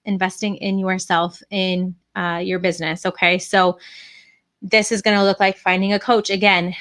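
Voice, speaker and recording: A woman's voice: mid-range voice, a bit bright. A woman giving casual, chatty advice as a business coach, with frequent fillers. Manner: chatty, many fillers and restarts.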